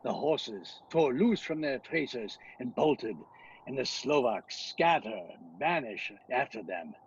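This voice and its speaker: A man playing a commanding vampire hunter in a radio play, speaking with solemn, dramatic urgency and a husky voice. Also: medium pitch, lively intonation, takes it slowly, muffled recording, a little hiss or hum. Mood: grave, tense.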